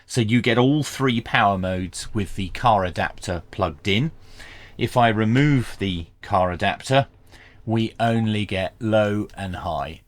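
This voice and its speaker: A man's voice: on the low side, unhurried. A man presenting a hands-on equipment review, explaining each step methodically in the style of a tutorial.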